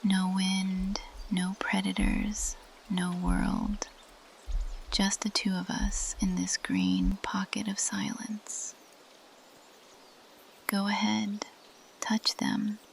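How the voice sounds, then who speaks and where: A woman playing an ancient, possessive plant monster girl in an intimate ASMR roleplay, speaking in a breathy, lingering whisper. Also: mid-range voice, flat delivery, very slow, pauses often, a little hiss or hum. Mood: soft, tender.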